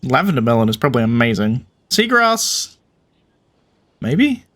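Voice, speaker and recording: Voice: medium pitch, very animated, measured pace, fairly bright tone. A game streamer giving casual, informal commentary during a playthrough. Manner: offhand, informal.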